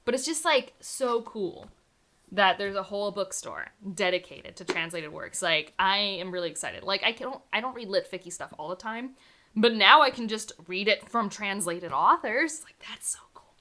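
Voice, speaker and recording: A woman's voice: medium pitch, expressive, normal speed, sharp tone. A woman book vlogger chatting casually to camera, enthusiastic and full of "like" fillers. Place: home room surrounded by books.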